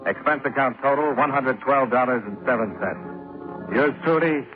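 An American actor playing a hard-boiled, wry investigator, narrating a 1950s radio detective drama in a clipped, matter-of-fact way. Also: male voice, medium pitch, normal speed, natural voice. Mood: wry narration. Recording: tinny old 1950s radio recording, music behind.

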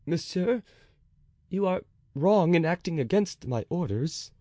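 A man's voice: a little high, big pitch swings, normal speed, mellow, slightly rough, dry recording, studio quality, pitched above the performer's own voice. A man voicing a dutiful, timid officer who speaks hesitantly and stammers.

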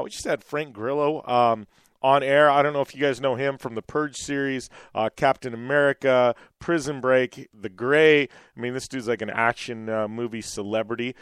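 A man's voice: mid-range voice, fairly bright tone, clean tone, no echo, studio quality. Man hosting radio with a scratchy, worn voice. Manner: laid-back, filler-heavy, says uh a lot. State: scratchy, worn-out voice after a cold. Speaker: radio show host. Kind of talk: casual radio chat.

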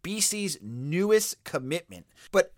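A man in his thirties with a Boston accent, hosting a sports podcast in a casual, animated way and thinking aloud with quick restarts. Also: sits high, no echo, clean studio sound. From Boston area. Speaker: podcast host.

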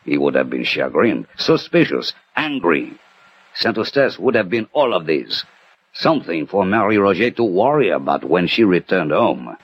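A man playing a cool, methodical French detective who narrates and reasons deliberately in a 1960 radio mystery. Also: deep voice, very animated, a little hiss or hum. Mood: probing. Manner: measured, deliberate, analytical. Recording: muffled old radio recording.

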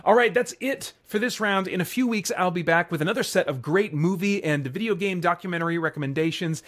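A man's voice: high voice, brisk, fairly bright tone, no room sound, studio quality. A man hosting a podcast solo in a casual style, moving between scripted bits and rambling asides, often interrupting himself as he thinks aloud. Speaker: podcast host.

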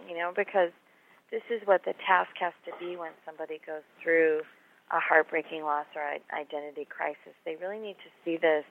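A woman author, slightly hoarse, talking earnestly and reassuringly about her book in an interview. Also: on the low side. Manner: earnest, reassuring, repeats words. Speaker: author and guest. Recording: phone line audio.

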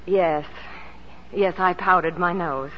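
An actress playing an elegant, secretly fragile woman in love, with halting phrases, in 1940s radio drama style. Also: lowish voice, slight background noise. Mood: light, playful. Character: elegant, secretly ill woman, tender and evasive lover. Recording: muffled 1940s radio broadcast with music.

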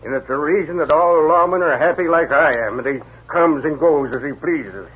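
A man playing a folksy, easygoing frontier sheriff in a 1950s radio drama, with a raspy, drawling voice on a tinny old radio recording. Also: fairly high pitch, moderate pace.

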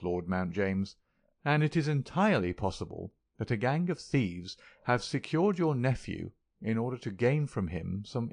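A man plays a keen, composed gentleman detective who questions courteously and deliberately. His mid-pitched voice carries a gravelly texture and sounds close and dry. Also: dry recording, full character voice. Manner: measured, courteous, quietly probing.

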